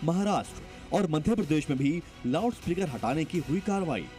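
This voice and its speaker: A man's voice: a little high, expressive, moderate pace, mellow. A man narrating a scripted television news report in a dramatic, emphatic manner. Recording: voice-over with music bed.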